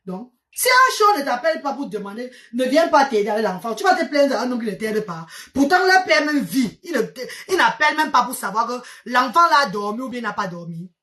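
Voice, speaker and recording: A Cameroonian woman whose mother tongue is Medumba, speaking French with a Cameroonian accent and talking to her followers in an animated, exclaiming way. She repeats herself and slips English words into her French. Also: on the high side, a little fast, hardly any pauses. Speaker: vlogger. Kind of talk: chatty storytelling to followers.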